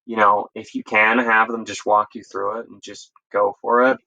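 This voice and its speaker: Male, American, lowish but bright voice, informal interview guest who rambles and backtracks mid-sentence. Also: little pitch movement, normal speed, gravelly, muffled recording. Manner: rambling, self-correcting, informal. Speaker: interview guest, EMS instructor. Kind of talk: casual conversational storytelling.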